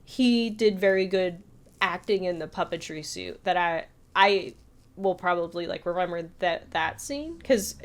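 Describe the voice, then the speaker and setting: Lower-pitched woman chatting casually. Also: unhurried. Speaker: podcast co-host. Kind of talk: casual film review chat.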